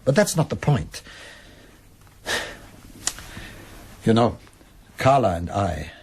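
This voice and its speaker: An actor playing an earnest, troubled middle-aged husband in a radio drama, emphatic yet hesitant, with a raspy voice. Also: male voice, on the low side, big pitch swings, slow pace, darkish tone, a little hiss or hum, heavily acted. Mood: troubled, ashamed.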